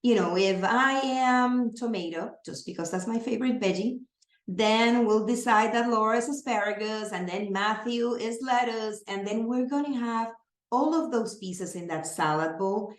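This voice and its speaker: A woman's voice: on the high side, moderate pace. A woman with a Spanish accent, a native Spanish speaker, giving an earnest training presentation on serving families, explaining with everyday examples. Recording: roomy echo.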